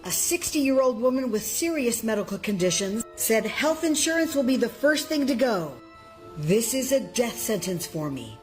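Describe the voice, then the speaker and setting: Female news reporter, fairly high voice, measured read, faint music underneath. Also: big pitch swings, darkish tone.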